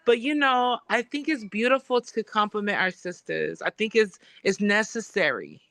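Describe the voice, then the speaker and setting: A woman from Samoa chats on a podcast in a bright, crisp and smooth voice. She talks in an effusive, spiritual way, full of "um" and "you know". The sound is close and dry. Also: mid-range voice, expressive, normal speed, dry recording. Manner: warm, effusive, spiritual talk with frequent fillers. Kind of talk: casual conversation.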